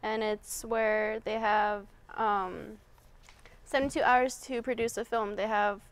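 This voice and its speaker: A woman's voice: mid-range voice, flat delivery, measured pace. A young adult woman coordinating a youth film festival, talking casually with lots of "like" and "um" in a TV studio interview. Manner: casual, fillers like "like" and "um". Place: TV studio set, indoors.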